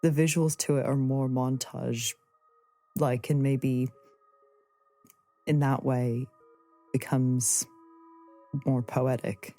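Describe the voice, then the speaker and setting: A woman's voice: very deep voice, little pitch movement, takes it slowly, pauses often, dark tone, faint music behind. A woman interviewer in a reflective arts conversation, hesitant and searching as they rework their phrases aloud.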